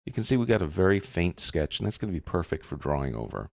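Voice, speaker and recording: Man, software instructor, very low pitch, gravelly fry, casual step-by-step tutorial narration. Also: very animated, quick pace, no room sound, clean studio sound.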